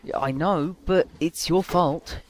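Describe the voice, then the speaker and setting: A man's voice: on the high side, slow, a little hiss or hum. A man playing a hesitant, grudging teenage schoolboy in an audio drama. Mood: defensive. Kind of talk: full-cast audio drama acting.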